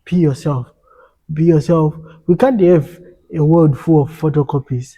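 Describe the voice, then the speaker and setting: A man's voice: on the high side, measured pace, warm tone. A young African man talking earnestly in a casual podcast conversation, often repeating and restarting his words.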